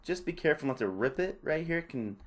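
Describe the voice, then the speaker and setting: A man's voice: a little high, animated, quick pace. A man presenting a repair tutorial, giving casual, informal step-by-step hands-on instructions. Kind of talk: casual hands-on how-to narration.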